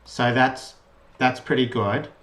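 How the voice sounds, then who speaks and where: A man's voice: medium pitch, slow pace, fairly bright tone, slight background noise. Man explaining code in an echoey room. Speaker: presenter of an electronics project tutorial. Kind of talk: informal code walkthrough, unscripted. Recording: roomy, echoey voice pickup.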